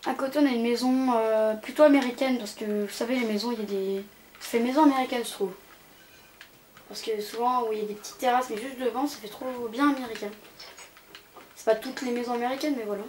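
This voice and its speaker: A woman's voice: a little high, fairly even intonation, fairly quick, a little mellow, rough recording. A young woman giving a casual, rambling, hesitant commentary as she tours a video game. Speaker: gaming channel host. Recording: amateur home recording with background noise.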